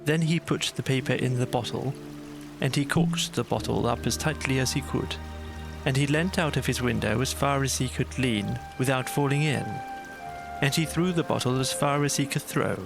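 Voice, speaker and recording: Creaky-edged man narrating a bedtime story. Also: mid-range voice, moderate pace, over music.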